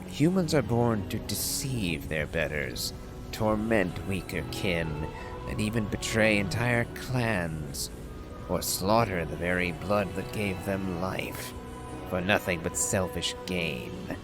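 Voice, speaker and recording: A man's voice: sits low, animated, takes it slowly, music in the background. A man voicing a proud, stern ancient dragon from a game, in formal, declamatory phrases. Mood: scornful. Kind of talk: dramatic reading of game lore.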